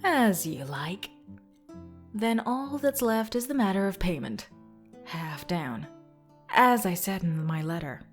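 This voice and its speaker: A cool, self-assured ghost hunter, voiced by a woman, in an audio drama talks at a mid-range pitch. Her delivery is dry, unhurried and businesslike. Soft music plays under her lines. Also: lively intonation.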